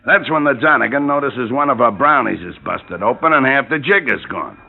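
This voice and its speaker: An actor playing a gruff, aging, heavy-set railroad detective, gravelly and full of folksy slang, in a 1950s radio drama. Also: male voice, medium pitch, expressive, a little fast, a little hiss or hum. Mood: eager, slangy. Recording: tinny narrowband old radio recording.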